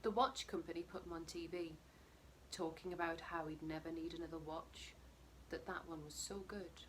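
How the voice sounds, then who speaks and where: A woman narrating a short story expressively, her phrases sometimes dropping to a hush. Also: low-pitched, steady tone, moderate pace, slight background noise, rough recording. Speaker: story reader. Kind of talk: narrated short fiction reading.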